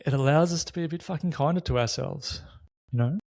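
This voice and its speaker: A man's voice: fairly high pitch, quick pace, vocal fry, darkish tone, no echo, clean studio sound. A man hosts a reflective, unscripted conversation, speaking candidly and casually in short, considered bursts. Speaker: podcast host.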